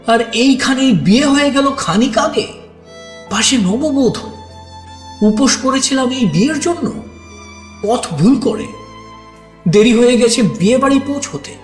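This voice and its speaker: Shy bridegroom narrator, male actor, hushed and emotive, very unhurried, dramatic pauses, reverberant audio-story sound. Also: very high voice. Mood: anxious, wistful. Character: shy, doubt-haunted bridegroom recounting his wedding night, tender. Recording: echoey studio reverb under clear music.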